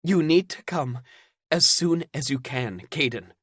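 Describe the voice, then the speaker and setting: A woman's voice: lowish voice, animated, moderate pace, no room sound, studio quality, voice pushed up. A man doing a scratchy, breathless, insistent woman. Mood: urgent. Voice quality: scratchy from crying. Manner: gently insistent. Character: breathless woman bearing grave news.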